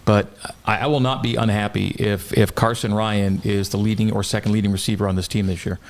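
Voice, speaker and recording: A man's voice: fairly low pitch, level tone, a little fast. A man talking sports casually as a studio guest, repeating short phrases for emphasis. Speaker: sports radio play-by-play announcer, studio guest.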